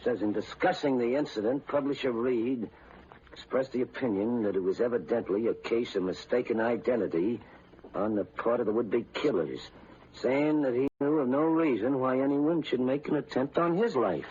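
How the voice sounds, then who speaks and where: An actor playing a surly, bossy American gangster ringleader, tough and impatient, in old radio drama style. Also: male voice, medium pitch, moderate pace, a little mellow, lightly acted. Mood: reading slowly. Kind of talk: 1930s-40s radio acting. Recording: muffled radio broadcast with heavy hiss.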